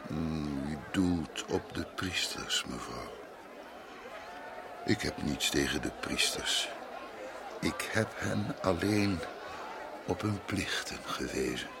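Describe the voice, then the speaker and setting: An actor playing a calm, self-assured wandering prophet in a radio drama, gravelly, measured and courteous with gentle irony. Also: male voice, very deep voice, full character voice. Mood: calm, firm. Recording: noisy radio play amid loud crowd.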